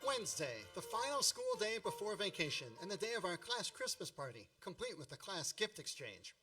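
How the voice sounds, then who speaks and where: A man's voice: sits high, sing-song, brisk, recorded from a distance, over music. An actor narrating a radio play as a wry grown man recalling boyhood with mock-grand melodrama.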